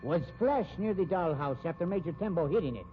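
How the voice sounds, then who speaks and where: An actor playing a wary, defensive foreign sideshow performer with formal, insistent phrasing in a 1950s radio drama. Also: male voice, fairly high pitch, expressive, a little fast, natural voice. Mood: probing. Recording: tinny, noisy 1950s radio recording.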